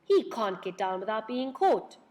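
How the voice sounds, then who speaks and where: A woman's voice: a little high, big pitch swings, moderate pace, darkish tone. A woman voicing a bold, alert young boy in a children's storybook, with an urgent, decisive manner. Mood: triumphant.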